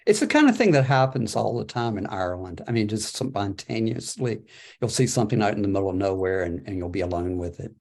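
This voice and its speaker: A man's voice: lowish voice, lively intonation, brisk, vocal fry, a little mellow. A man presenting his book as a poet, moving between informal introductions and read poems. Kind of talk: poetry reading with informal introductions.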